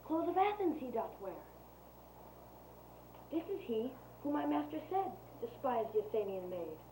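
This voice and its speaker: Stage Shakespeare: actress as spell-casting fairy servant, high yet soft-toned, rhymed declamation, echoey room. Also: female voice, very animated, moderate pace. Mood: searching, mischievous. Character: busy fairy servant sprite casting love charms. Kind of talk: Shakespearean verse stage acting. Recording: echoey room, faint noise.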